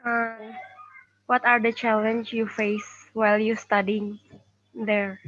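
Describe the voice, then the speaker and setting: Student interviewer, woman. Even, unhurried questioning over a dull, slightly noisy recording. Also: a little high. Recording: dull audio with background noise.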